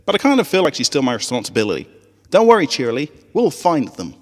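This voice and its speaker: A man voicing a loyal tomboy female pony from a story, brisk, casual and earnest. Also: fairly high pitch, wildly swooping pitch, in a large hall, pitched above the performer's own voice. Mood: reassuring.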